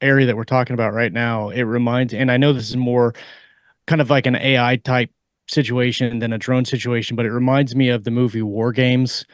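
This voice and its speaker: Mid-pitched man, dry close podcast sound. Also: level tone, a little fast, dry recording. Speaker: podcast co-host. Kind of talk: casual panel discussion.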